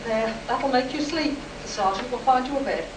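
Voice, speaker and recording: Level-headed sceptical base doctor woman acting, fairly high voice, sci-fi radio drama, echoey and far from the mic. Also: moderate pace, lightly acted. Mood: soothing. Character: Donaldson, composed air base medic, calmly sceptical. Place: live convention performance, recorded from the room. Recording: echoey, distant, noisy room recording.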